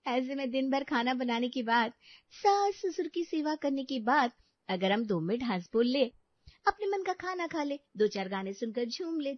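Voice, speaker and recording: A woman plays a bubbly young sister-in-law from a cartoon, chatty, caring and eager. Her voice is high, captured dry with a slightly dull, lo-fi tone. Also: no echo.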